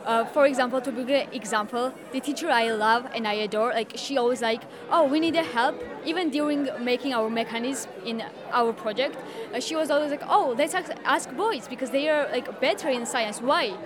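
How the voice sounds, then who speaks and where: A woman's voice: sits high, fairly quick. A woman telling an anecdote casually and animatedly in an interview, acting out what others say. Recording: crowd noise in busy public space. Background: crowd chatter.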